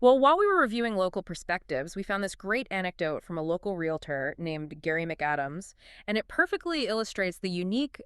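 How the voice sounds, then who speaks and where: A woman's voice: fairly low pitch, big pitch swings, a little fast, a bit bright, smooth, no room sound, studio quality. A woman co-hosting a podcast-style explainer, articulate and emphatic, in a conversational but scripted-sounding way. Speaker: co-host.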